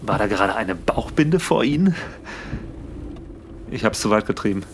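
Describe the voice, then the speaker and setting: A man's voice: lowish voice, lively intonation, normal speed, slight background noise, natural voice. A man playing a bewildered, panicky stranded fellow in a comedic radio play.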